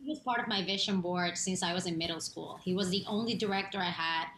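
A woman in her thirties from Puerto Rico, an actress talking excitedly about her film on a video call from home. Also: lowish voice, fast talker. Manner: effusive, gushing. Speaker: actress and singer guest. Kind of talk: remote TV interview. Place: home room, indoors. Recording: echoey room, faint hum.

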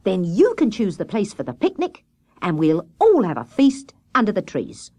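A woman's voice: medium pitch, wildly swooping pitch, moderate pace, mellow, pitched above the performer's own voice. A woman voicing a fussy male water rat in a children's story, speaking politely but firmly. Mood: brisk, persuasive.

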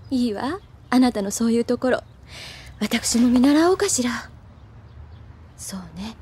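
A composed, mellow-voiced, proper schoolgirl senior. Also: fairly high pitch, animated, slow pace, pauses often, slightly rough, exaggerated voice. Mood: softened, amused. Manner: polite, restrained, reserved. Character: composed, proper older schoolgirl, cool but caring. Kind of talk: Japanese audio drama acting. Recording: noticeable ambient noise behind.

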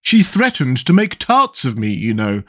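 Man doing a plaintive, raised-pitch pumpkinhead. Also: expressive, a little slow. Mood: fearful. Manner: plaintive, fretful. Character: timid, self-pitying pumpkin-headed wooden man. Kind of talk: children's storybook character voice.

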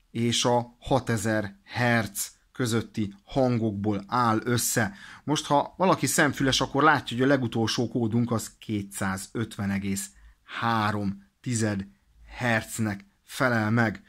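Man giving an informal hands-on tutorial. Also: mid-range voice. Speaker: presenter of a radio equipment demonstration. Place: indoors at a desk.

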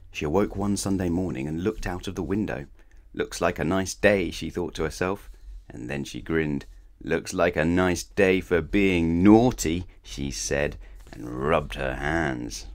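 Deep-voiced man reading a children's story. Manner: animated, playful, addresses young listeners directly. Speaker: storybook reader. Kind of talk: expressive scripted children's story read-aloud.

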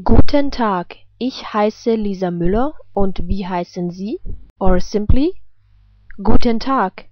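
A woman giving a scripted language lesson as a teacher, reading example phrases with careful, deliberate enunciation. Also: medium pitch, dry recording, lo-fi sound.

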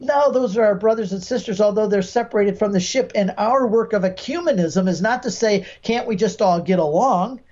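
Emphatic older American man, high-pitched voice, dull audio. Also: moderate pace, hardly any pauses, darkish tone. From Michigan, United States. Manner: emphatic, acts out quoted speech. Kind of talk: explaining with stories and examples. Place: home room with bookshelves, video call.

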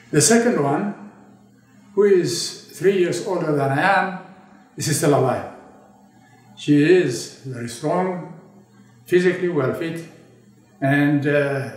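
An elderly man in his eighties, from Ethiopia, talks slowly and deliberately with a slightly raised pitch. He pauses often and restarts phrases as he reflects. The voice sounds distant in a very echoey room. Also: darkish tone. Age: 80s. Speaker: interviewee recalling his childhood. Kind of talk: oral history interview. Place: indoor studio with a draped backdrop.

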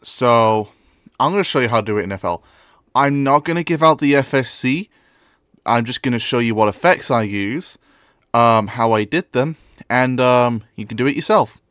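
A man's voice: medium pitch, animated, normal speed, a bit bright, no echo. Male tutorial presenter, informal and offhand, recorded dry with very little room sound. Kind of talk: casual screen-recorded software tutorial.

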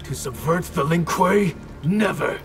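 A man's voice: a little high, expressive, measured pace, vocal fry, soft background music. An actor voicing a proud, defiant male ninja clan leader.

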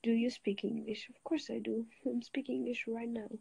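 A woman's voice: a little high, moderate pace, gravelly, slightly rough, no echo. Young woman livestreaming from her bed. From Switzerland. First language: Italian. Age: young adult. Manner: greets viewers, switches languages, relaxed and chatty. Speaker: livestream host. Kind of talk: casual livestream chat with viewers. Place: lying on a bed indoors. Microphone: phone held at arm's length.

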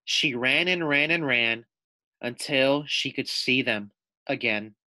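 A man reading a picture book aloud to young children as their teacher, deliberate and explanatory. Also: medium pitch, sharp tone, no room sound, poor audio. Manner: deliberate, explanatory, asks the listeners questions.

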